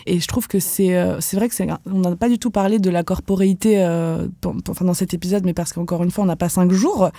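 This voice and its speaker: A young woman from France, a professional dancer, speaking candidly as a podcast guest, casual and animated, with lots of fillers and slang. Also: on the low side, fast talker, hardly any pauses, dry recording, studio quality. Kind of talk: podcast interview.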